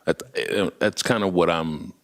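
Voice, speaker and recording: Deep-voiced man asking casual questions. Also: unhurried. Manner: casual, repeats words, self-described loud voice. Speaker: board member. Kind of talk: board meeting discussion. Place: board meeting room.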